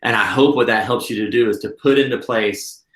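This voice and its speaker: A man's voice: fairly low pitch, a little fast, a bit bright. A man in his thirties, a business coach, leading a workshop in an earnest, encouraging, conversational way. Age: 30s. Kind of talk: instructional workshop talk. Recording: roomy echo.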